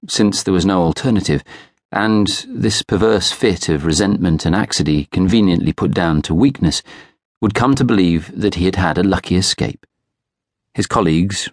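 Man, British accent, very deep bass voice, literary audiobook narration, reflective and measured, close dry sound. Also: no room sound. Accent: British English. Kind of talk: scripted literary fiction narration. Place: studio.

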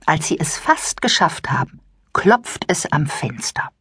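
A woman narrating a children's audiobook with expressive, scripted storytelling. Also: low-pitched, a little slow, music in the background.

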